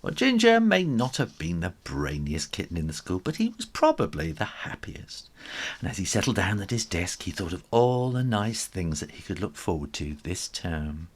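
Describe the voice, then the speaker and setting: A man reading a children's story aloud in an expressive, animated style with conversational asides. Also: low voice, normal speed. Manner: expressive, with chuckles and spoken asides.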